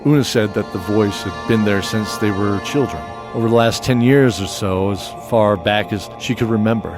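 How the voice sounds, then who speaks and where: A man's voice: on the low side, normal speed, music in the background. A man narrating a scripted fantasy story in a descriptive storytelling style.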